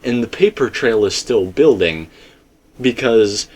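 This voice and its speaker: A man's voice: mid-range voice, expressive, measured pace, a little mellow. A man hosting a casual theory discussion, talking in a rambling, emphatic way. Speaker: host.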